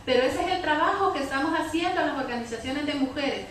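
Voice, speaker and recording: A woman's voice: sits high, fast talker, a bit bright. A woman activist speaking on a public debate panel, conversational and often ending phrases with tag questions. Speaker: women's organization activist, panelist. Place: public debate hall with audience. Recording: very echoey hall, distant mic, faint noise.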